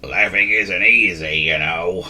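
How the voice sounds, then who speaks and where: A man's voice: deep voice, slow pace, pushed and bright, distant mic, heavily acted. A man voicing a gloomy, grumbling male creature who mumbles miserably.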